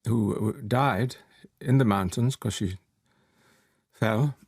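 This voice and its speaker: Slow, measured man recounting a story. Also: lowish voice, a little mellow, husky, no room sound, clean studio sound. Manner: halting, measured phrasing.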